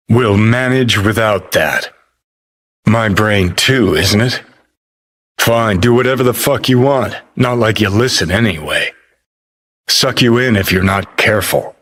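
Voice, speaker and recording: An American man playing a cynical, foul-mouthed, world-weary rebel rock star in a video game, with a gravelly, husky voice and a clipped, sardonic, swaggering drawl. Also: fairly low pitch, fairly bright tone. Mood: terse, cool. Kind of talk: video game character dialogue.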